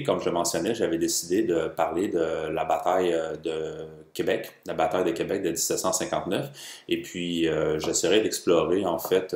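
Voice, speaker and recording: A man in his thirties from Quebec with a Quebec French accent, giving a formal history lecture with many hesitant pauses and filler sounds. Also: deep voice, a little mellow, roomy sound. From Quebec, Canada. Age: 30s. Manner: formal and measured, heavy "euh" hesitations. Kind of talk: educational history lecture video.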